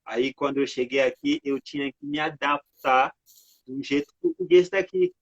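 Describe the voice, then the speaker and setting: A man from the Democratic Republic of the Congo answering interview questions over a video call, halting, with many filler words. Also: fairly high pitch, sing-song, creaky, no room sound. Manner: halting, frequent "né" and "sabe" fillers. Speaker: artist and guest. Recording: dry lo-fi video call audio.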